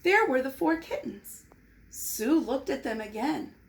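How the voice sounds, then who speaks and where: A woman reading a children's storybook aloud in an expressive, deliberate, dramatized way. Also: sits high, creaky. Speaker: storybook reader. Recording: noticeable background noise.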